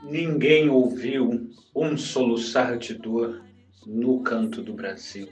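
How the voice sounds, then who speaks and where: Male reciter, slow deliberate delivery of verse, faint music under. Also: mid-range voice, fairly even intonation, low-quality recording. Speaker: guest reciting lyrics.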